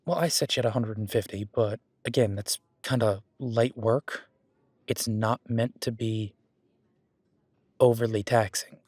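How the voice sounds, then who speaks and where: A man's voice: fairly low pitch, fairly even intonation, measured pace, a little mellow, no echo. A man playing a friendly, patient werewolf boyfriend who coaches a workout in a casual, instructive audio roleplay. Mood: easygoing. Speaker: gym training coach in roleplay.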